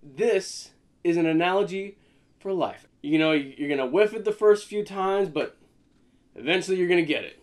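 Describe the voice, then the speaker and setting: A young man with a fairly high voice co-hosts a laid-back podcast. He jokes and teases, trips over his words and starts sentences again, and keeps a playful chatty tone. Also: normal speed. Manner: joking, stammers and restarts. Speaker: podcast co-host. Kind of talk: casual banter.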